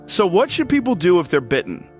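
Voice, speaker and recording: An alarmed radio interviewer in an audio drama. He sounds earnest and unsettled, with very lively pitch movement. Faint music sits behind him. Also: fairly quick. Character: alarmed male radio news host.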